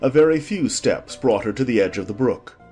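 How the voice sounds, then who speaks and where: A man's voice: medium pitch, lively intonation, normal speed, darkish tone, slightly rough, faint music behind. A man reading scripted storybook narration as the narrator of a dramatized audiobook.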